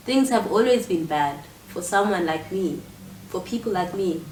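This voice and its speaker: A woman's voice: lowish voice, animated, normal speed, a little mellow, close to an everyday voice. An actress playing a proud, angry, impoverished woman who refuses pity, in an intense theatrical monologue. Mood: bitter, proud. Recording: echoey room with faint noise.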